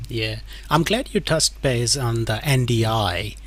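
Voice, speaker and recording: A man's voice: mid-range voice, unhurried. Man, online event host, casual, fillers, video call audio. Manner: casual, frequent "like, you know" fillers. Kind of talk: online conference moderation.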